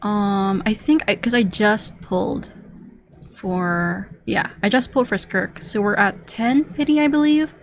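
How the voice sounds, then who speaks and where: A woman gives casual, rambling commentary while gaming. Her medium-pitched voice moves slowly as she thinks aloud and repeats words. A faint layer of game noise sits behind her. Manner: rambling, repetitive self-talk. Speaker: game streamer.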